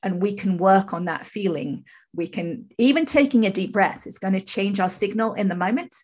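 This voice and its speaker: A woman in her fifties with a husky, creaky voice, earnestly telling her personal story as an interview guest. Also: medium pitch, animated, normal speed, darkish tone. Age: 50s. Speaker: podcast guest, spiritual teacher.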